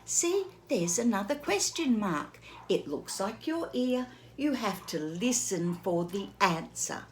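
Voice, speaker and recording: A woman teacher reading a picture book aloud to children, gently pausing to ask the listener questions. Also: mid-range voice, big pitch swings, slight background noise. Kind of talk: children's read-aloud lesson.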